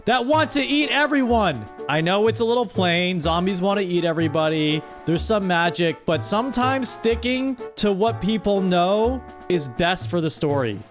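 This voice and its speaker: A man with a high-pitched voice hosts a casual livestream. He reads aloud and reacts with playful, exasperated commentary. Music plays clearly behind him. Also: expressive, moderate pace, hardly any pauses. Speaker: streamer hosting a chat story-writing game. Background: background music.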